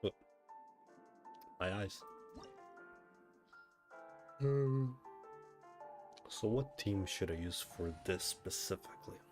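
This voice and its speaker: A man chatting casually as he livestreams a game, thinking out loud and often asking himself questions. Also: lowish voice, lively intonation, slow pace, pauses often, music in the background. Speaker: livestreamer, gamer. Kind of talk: casual livestream chat while playing a game.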